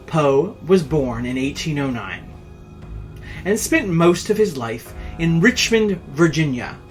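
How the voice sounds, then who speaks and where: A narrator reading a horror tale in a theatrical, dramatic way with drawn-out emphasis. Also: medium pitch.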